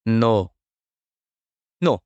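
A woman modeling words and short phrases for learners, speaking slowly and deliberately and repeating each word. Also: rumbling low, expressive, a little mellow, clean tone, dry recording, muffled recording. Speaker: native speaker model in a language course. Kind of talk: slow, careful model pronunciation.

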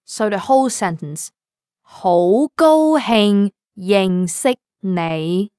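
A native Cantonese woman co-hosting a scripted language lesson, with a Cantonese accent, modelling phrases slowly and carefully. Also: mid-range voice, dark tone, smooth, no echo. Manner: careful slow model pronunciation. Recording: dry studio recording.

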